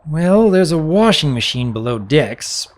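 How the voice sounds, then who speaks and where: A man's voice: a little high, sing-song, a little slow, pitched above the performer's own voice. A man voicing a young pony baron in a storybook, earnest, well-mannered and slightly awkward. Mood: practical, musing.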